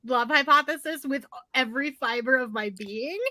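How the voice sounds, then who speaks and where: A woman with a fairly high and very bright voice gives her opinions emphatically. She talks casually, and the recording is very dry and close. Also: moderate pace, no room sound. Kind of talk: casual book chat.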